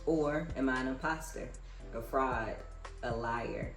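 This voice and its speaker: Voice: mid-range voice, takes it slowly, a bit bright, reverberant, soft background music. An American reader with an informal, conversational manner, reading books aloud and adding casual commentary. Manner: informal, conversational asides between read passages. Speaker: book reader and reviewer hosting a read-aloud.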